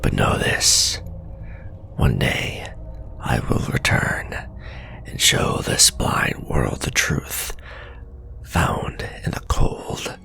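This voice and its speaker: A man voicing a male scholar sliding into madness, whispering a fevered, gloating journal in a hushed ASMR horror roleplay. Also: extremely low pitch, lively intonation, drawn-out words, long pauses, exaggerated voice. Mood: menacing. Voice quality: gravelly whisper.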